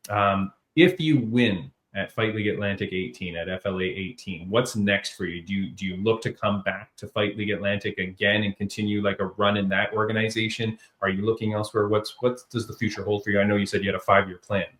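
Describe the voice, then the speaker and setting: A man's voice: fairly low pitch, fairly even intonation, fairly quick, few pauses, a bit bright. A man from Canada hosting a podcast interview, upbeat and chummy, calling his guest "man" and "buddy". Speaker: podcast host. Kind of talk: casual interview.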